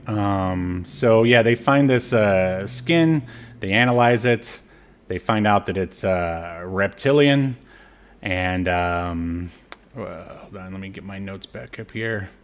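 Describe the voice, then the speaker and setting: A man co-hosting a podcast, casually recapping a TV episode in a halting, informal way. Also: deep voice, smooth. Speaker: podcast co-host.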